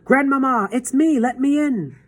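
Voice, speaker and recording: A woman voicing an eager young boy who calls out loudly and excitedly. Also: on the low side, fast talker, natural voice. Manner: eager, breathless, loud.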